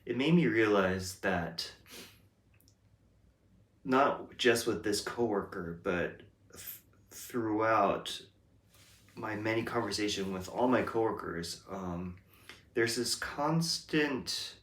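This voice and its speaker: A man with a deep, low voice talks through his thoughts at an unhurried pace. He hesitates often and restarts his sentences as he reflects aloud. The room around him gives his voice a noticeable echo. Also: fairly bright tone. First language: English. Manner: slow, hesitant, many ums and restarts. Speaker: host. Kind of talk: reflective casual monologue. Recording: roomy echo.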